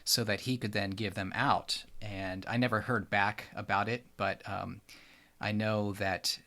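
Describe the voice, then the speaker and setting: Bright, low-voiced man chatting. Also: flat delivery, a little slow. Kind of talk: casual conversational anecdote.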